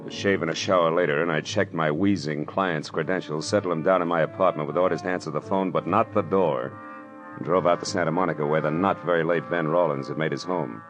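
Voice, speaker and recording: A low-voiced American private eye, world-weary and quick with a dry crack. He talks in hard-boiled 1940s radio drama style. Loud music runs behind the old broadcast recording. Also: steady tone. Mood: matter-of-fact. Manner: dry, sardonic. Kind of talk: 1940s radio detective acting, first-person narration.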